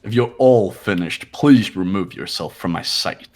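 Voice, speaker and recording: A low male voice put on by an amateur player for an arrogant young heir in a visual novel game. He is cold and contemptuous, clipping his words dismissively. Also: measured pace, a little mellow, faint music behind. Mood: haughty, cold.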